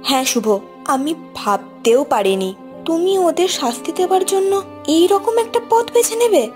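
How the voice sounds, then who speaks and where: A woman playing a gentle young female spirit in an animated ghost story, earnest and pleading. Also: extremely high pitch, moderate pace, faint music behind. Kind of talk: dramatic animated horror story acting.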